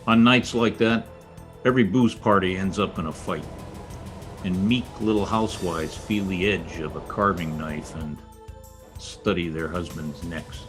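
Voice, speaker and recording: A low-voiced, world-weary private detective played by a man tells his case in old radio noir fashion. He is terse and wry, quick with a wisecrack, with faint music underneath. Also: fairly even intonation. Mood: brooding, weary. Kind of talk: 1940s radio noir acting. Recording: home-recorded radio play, faint music.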